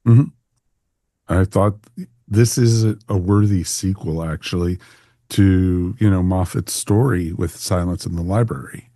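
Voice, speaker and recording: Man with a very low, close voice. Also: unhurried, dark tone, no room sound. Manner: conversational, punctuated with "you know". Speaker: podcast panel host. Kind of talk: casual discussion, reviewing a story.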